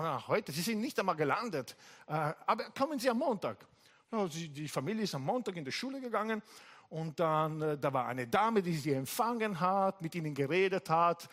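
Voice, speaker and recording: A male pastor from Italy preaching in German with an Italian accent, telling a story in a lively way and jumping much higher in pitch when he quotes people. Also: fairly quick. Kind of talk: sermon with anecdote. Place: church service.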